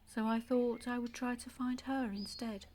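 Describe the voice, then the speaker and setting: A woman acting an intimate audio-play monologue as a calm, obsessive, secretly dangerous woman, confiding in a measured, often hushed and breathy way. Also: a little high, slight background noise, natural voice. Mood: confiding, quietly intense. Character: calm, obsessive, delusional woman abandoned as a baby, secretly murderous. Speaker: actor in a monologue.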